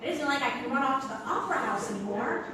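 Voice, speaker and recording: An insistent young 1920s aspiring actress in a high voice. She is heard from a distance in a very echoey theatre with an audience around. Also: animated, fast talker, a crowd in the background. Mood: determined. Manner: insistent, ambitious. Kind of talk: stage radio-play acting.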